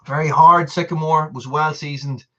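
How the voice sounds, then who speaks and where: A man's voice: a little high, fairly even intonation, moderate pace, a bit bright, dull audio. A man, hosting a casual live stream and chatting to viewers. He rambles, repeats himself and laughs often. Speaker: channel host on a live stream.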